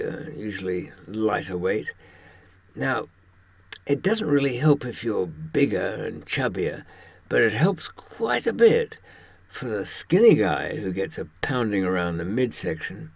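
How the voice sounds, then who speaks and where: A man in his seventies speaks in a low, husky voice with lively swings of pitch. He talks in a wry, conversational way, often pausing to find the words. The sound is close and dry. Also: dry recording. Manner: wry, halting, with pauses for thought. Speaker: presenter talking from personal experience. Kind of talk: conversational monologue to camera.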